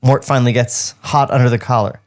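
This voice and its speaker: A man's voice: medium pitch, steady tone, brisk, darkish tone. A man co-hosting a podcast, reading script directions aloud with sarcastic asides.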